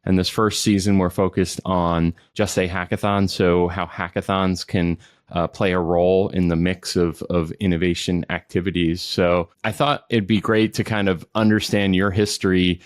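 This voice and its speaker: A man's voice: low voice, little pitch movement, moderate pace, no room sound. A man hosting a podcast interview, chatting casually and restarting his questions with lots of fillers. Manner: rambling, restarts with lots of "you know". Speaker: podcast host.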